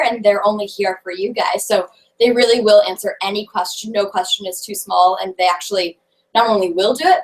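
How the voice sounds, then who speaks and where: Young woman, mid-pitched, earnest reassuring student panelist. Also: poor audio. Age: young adult. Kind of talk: casual video call chat.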